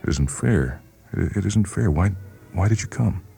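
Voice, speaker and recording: A man's voice: low voice, expressive, normal speed, gravelly, mellow, soft background music, voice pushed up. A man playing an anguished, brooding, suspicious male patient in a dramatized story reading. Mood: anguished, accusing.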